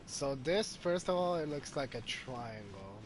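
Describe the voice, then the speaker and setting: A man's voice: medium pitch, animated, measured pace, a little hiss or hum. A man giving casual live commentary on a game as a streamer, hesitantly thinking aloud.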